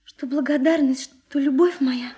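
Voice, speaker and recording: An actress playing an impulsive, trusting young woman in love in a radio play, emotional and halting. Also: high voice, steady tone, mellow. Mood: earnest, pleading. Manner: breathless, emotional, halting, repeating words. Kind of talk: Russian radio play acting.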